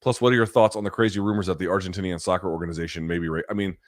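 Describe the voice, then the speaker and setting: A man's voice: low voice, very fast, no echo, clean studio sound. A middle-aged man hosting a live chat, reading viewer questions and answering in a blunt, profane, casual way. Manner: blunt, profane, animated asides.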